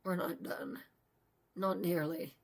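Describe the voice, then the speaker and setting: A woman voicing a self-assured, charming man with a soft, smooth, lowered voice. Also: steady tone, takes it slowly. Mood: smooth, teasing.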